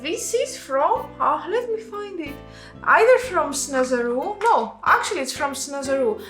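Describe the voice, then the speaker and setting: A Greek-accented woman explains a makeup routine step by step in a chatty, self-correcting manner. Her voice sits high, and the room around her is echoey. Faint music plays under her talk. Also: animated, a little slow, a bit bright. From Greece. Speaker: makeup artist and channel host. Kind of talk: narrated makeup tutorial.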